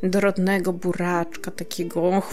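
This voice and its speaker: A woman's voice: on the low side, flat delivery, a little slow, a little mellow. A woman from Poland co-hosting a podcast in casual chat, often searching for words and chuckling. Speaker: podcast co-host.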